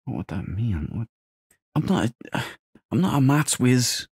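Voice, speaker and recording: A man's voice: medium pitch, big pitch swings, measured pace, darkish tone, husky, no echo, clean studio sound. A man giving casual let's play commentary, muttering and thinking aloud as he goes. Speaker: gamer.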